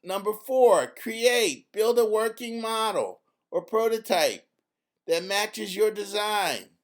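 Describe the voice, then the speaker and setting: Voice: medium pitch, expressive, slow pace, voice pushed up. A narrator playing a confident, emphatic young girl. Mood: instructive. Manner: emphatic, instructive, clearly enumerating. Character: confident girl scientist, firm but friendly teacher.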